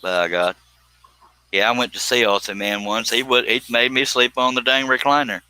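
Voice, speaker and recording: A man's voice: medium pitch, fairly even intonation, moderate pace, bright, forceful tone, smooth, dry recording. A man in his fifties hosting a casual live stream, chatting in a folksy, joking, teasing way. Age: 50s.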